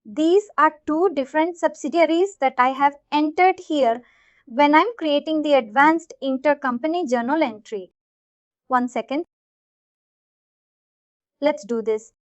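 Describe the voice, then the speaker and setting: High-pitched woman explaining, dull lo-fi sound. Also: a little slow, long pauses, no room sound. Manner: explanatory, checks in with "right?". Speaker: software trainer. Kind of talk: informal screen-share tutorial narration. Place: voice-over of a screen recording. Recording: dull, lo-fi close dry audio.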